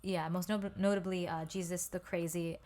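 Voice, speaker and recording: A woman's voice: fairly low pitch, little pitch movement, normal speed, a little mellow, soft background music. A young woman, a singer and songwriter, chatting as a podcast guest, with many ums and likes and easy laughs. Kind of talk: casual interview chat.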